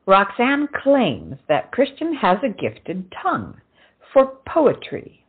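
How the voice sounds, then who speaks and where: A woman's voice: mid-range voice, wildly swooping pitch, slow pace, husky, full character voice. A woman playing a flighty, gossipy young lady who gushes and teases in a stage comedy. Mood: teasing, coaxing. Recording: tinny, narrow-band sound.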